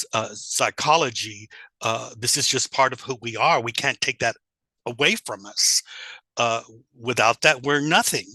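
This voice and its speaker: A man giving an informal online lecture in a deliberate, explanatory way with rhetorical questions. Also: medium pitch, sharp tone, no echo, rough recording. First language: English, also Spanish and German. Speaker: teacher trainer presenting.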